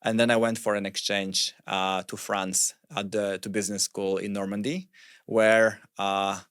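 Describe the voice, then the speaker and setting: Low-voiced Polish man, measured and dry. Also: little pitch movement, a bit bright, clean tone, dry recording. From Poland. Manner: measured, thoughtful, hesitant with fillers. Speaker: guest, fintech CEO. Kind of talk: casual podcast conversation.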